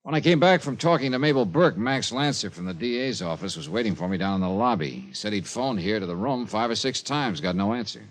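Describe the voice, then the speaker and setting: An American actor playing a hard-boiled, world-weary insurance investigator in a 1950s radio detective drama, clipped, wry and matter-of-fact. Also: male voice, fairly low pitch, animated, brisk, hardly any pauses, natural voice. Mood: cool, businesslike. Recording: vintage radio drama with music underneath.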